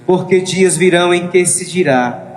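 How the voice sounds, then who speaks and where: A man reading the part of a solemn prophet in a dramatized scripture reading, in a measured manner. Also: high voice, lightly acted. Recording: echoey room with faint noise.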